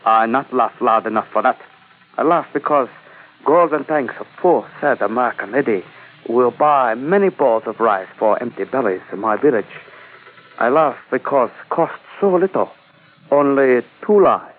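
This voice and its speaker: An actor playing a greedy, coldly menacing Chinese army colonel in an old radio drama, with a put-on Chinese accent and stilted, mock-courteous broken English. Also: male voice, mid-range voice, expressive, normal speed, natural voice. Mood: mocking menace. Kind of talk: 1940s-50s radio drama acting. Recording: tinny old radio recording.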